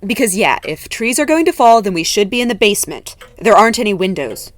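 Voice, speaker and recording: A woman playing a gentle, hesitant, wryly playful friend in a naturalistic fiction podcast. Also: mid-range voice, very animated, a little fast, lightly acted. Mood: gentle, concerned.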